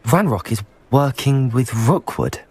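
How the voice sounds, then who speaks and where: An earnest young student wizard in a fantasy game. His medium-pitched voice is husky and moves expressively. He sounds polite, sincere and brave. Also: lively intonation, a little slow, a little mellow. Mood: wary.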